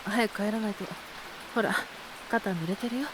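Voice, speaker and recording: A woman voicing a calm, caring grown man, a shop owner, with gentle, measured speech in a Japanese audio drama. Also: background noise, close to an everyday voice. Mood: gentle concern. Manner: gentle, measured, low-key.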